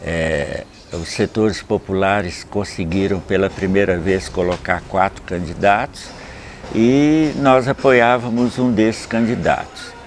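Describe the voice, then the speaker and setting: An older man speaks in a fairly low voice, in a measured and explanatory way. He often closes a thought with a short questioning tag. There is a faint hum of ambient noise behind him. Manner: measured, explanatory, with frequent "né?" tags. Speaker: capoeira master. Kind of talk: reflective documentary interview.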